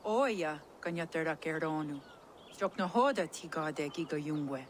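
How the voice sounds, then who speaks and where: Village woman in a game cutscene, low voice, faint outdoor ambience. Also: animated, measured pace, a little hiss or hum. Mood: measured. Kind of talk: video game cutscene acting.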